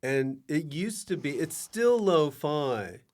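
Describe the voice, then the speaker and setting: A man's voice: on the high side, big pitch swings, takes it slowly, darkish tone. Casual male podcast host. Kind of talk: casual comic interview chat.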